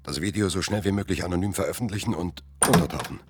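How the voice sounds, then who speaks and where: An actor playing a wary, commanding leader in a radio thriller, terse and decisive. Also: male voice, sits low, fast talker, husky, no room sound. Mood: alarmed, sober. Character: commanding, wary male leader of fugitive investigators.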